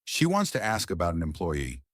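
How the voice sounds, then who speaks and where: A man's voice: deep voice, huge pitch swings, moderate pace, dry recording, studio quality. A man voicing a polite, informative female office assistant in a narrated story. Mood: alert. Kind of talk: audiobook-style story dialogue.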